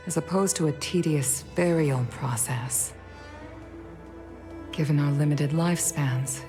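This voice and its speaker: A woman playing a dignified, grieving middle-aged leader in a video game, speaking in a formal, measured and solemn way. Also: low-pitched. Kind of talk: scripted video game voice acting. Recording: game music under the voice. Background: game music.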